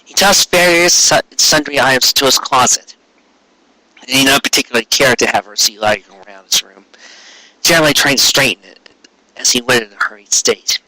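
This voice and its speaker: Bright, dry-sounding male story narrator. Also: mid-range voice, brisk, dry recording, poor audio. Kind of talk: expressive scripted fiction narration.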